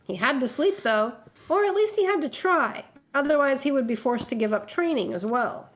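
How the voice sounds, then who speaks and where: Casting: high-pitched woman, comedy co-host, dramatic amateur story reading mixed with relaxed banter. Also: moderate pace. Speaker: reader and co-host of a fanfiction comedy show.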